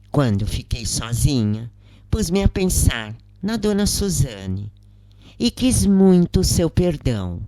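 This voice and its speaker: Slow-paced Brazilian woman narrating a story. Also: very deep voice, warm tone, dry recording. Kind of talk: scripted audiobook narration of a spiritual story.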